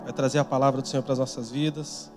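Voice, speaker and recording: A man's voice: mid-range voice, level tone, racing. A man leading a church service, introducing the preacher and praying earnestly over the congregation. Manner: earnest, rising to fervent in prayer. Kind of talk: fervent congregational prayer. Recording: church music playing behind.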